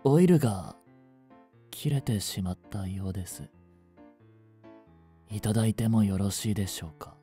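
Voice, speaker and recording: A man playing a polite, devoted android servant overcome by feverish love in a Japanese romantic audio drama, speaking formally in breathy, halting phrases. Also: on the low side, lively intonation, mellow, faint music behind, lightly acted. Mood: polite, flat.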